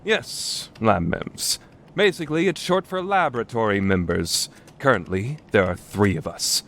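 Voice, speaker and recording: A man's voice: lowish voice, expressive, a little slow, a bit bright, a little hiss or hum. A man playing a grandiose, self-styled mad scientist in an anime fan dub, with pompous, dramatic delivery. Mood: grandly announcing.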